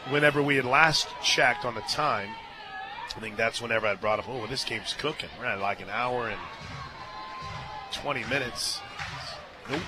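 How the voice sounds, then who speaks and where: A man doing live radio play-by-play commentary of a softball game as the announcer. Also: medium pitch. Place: outdoor softball stadium radio booth. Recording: stadium crowd noise and music behind. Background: crowd and music.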